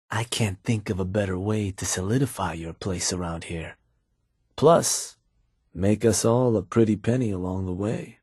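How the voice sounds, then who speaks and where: A shrewd American raider second-in-command from a video game. His voice is husky and sits on the low side, recorded close and dry. He lays things out for his boss in an easygoing, folksy, unhurried manner. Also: a little mellow, no echo. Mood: wry, sly. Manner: laid-back, folksy, measured explaining. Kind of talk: video game character dialogue.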